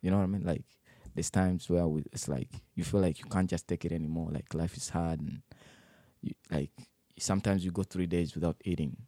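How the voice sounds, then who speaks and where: Low, mellow young man telling his story. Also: fairly even intonation, dry recording. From Democratic Republic of the Congo, raised in Uganda. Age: 20s. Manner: halting, many fillers and restarts. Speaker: interviewee. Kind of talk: personal life story interview.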